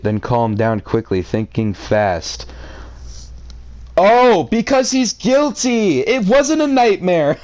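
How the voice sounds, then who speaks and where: A man with a smooth, clear voice at a middling pitch, close and dry on the mic. He reads a story aloud in a theatrical way, given to exclamatory, sarcastic commentary on what he reads. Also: dry recording. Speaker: reader reacting to a story. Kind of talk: live read-aloud with outraged commentary.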